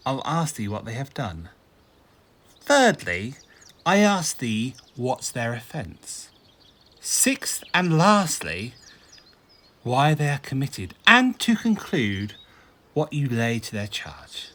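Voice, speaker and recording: Animated, witty prince. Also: male voice, mid-range voice, takes it slowly, long pauses, heavily acted. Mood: puzzled mocking. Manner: theatrical, expressive, playful emphasis. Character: urbane prince, witty, teasing and commanding. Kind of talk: Shakespearean radio play acting. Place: home recording.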